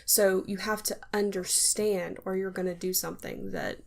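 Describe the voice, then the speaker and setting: A woman's voice: medium pitch, level tone, moderate pace, vocal fry. An American woman co-hosting a podcast in a casual, conversational style. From United States. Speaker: podcast co-host.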